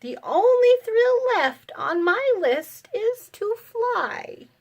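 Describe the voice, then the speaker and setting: A woman plays an elderly great-aunt from a picture book, wistful and dreamy. The voice is lifted high, and the words come slowly, drawn out with longing. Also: big pitch swings, muffled recording, full character voice.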